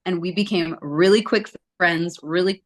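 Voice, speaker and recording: A woman in her forties, a singer being interviewed, telling her story in a chatty, animated way. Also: fairly low pitch, normal speed. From Southern California. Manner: chatty, animated, acts out quotes.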